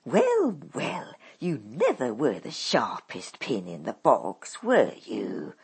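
This is a scheming stepmother witch from a storybook, bossy and scornful, snapping orders at her daughters, as a woman puts her on. The voice swoops wildly up and down and comes out very husky. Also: fairly low pitch, huge pitch swings, takes it slowly, a little mellow, pitched above the performer's own voice, exaggerated voice.

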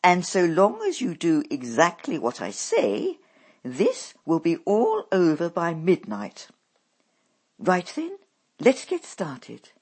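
A woman's voice: on the low side, a little mellow, breathy edge, pitched above the performer's own voice, full character voice. A woman reading a storybook aloud, voicing a bossy, scornful stepmother witch who snaps orders at her daughters.

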